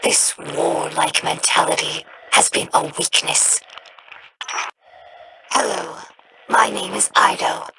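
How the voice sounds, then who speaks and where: A young alien scavenger girl with a gravelly, slightly low voice. She talks slowly and thoughtfully, earnest and reflective, like a video game character sharing her people's history. Clear ambient noise hums behind her. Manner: calm, measured, thoughtful.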